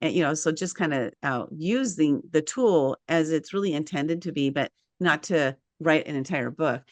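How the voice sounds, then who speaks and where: Woman host, lower voice, dry close mic, chatty and animated. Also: moderate pace, dry recording. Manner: animated storyteller, acts out quotes. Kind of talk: casual interview chat.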